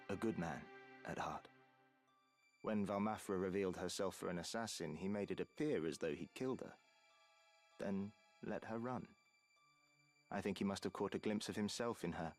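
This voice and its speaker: An actor playing a grave, remorseful man in a scripted game drama, speaking in formal, archaic, measured phrases. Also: low-pitched, darkish tone, lightly acted. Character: grave, remorseful male friend recounting news at a grave.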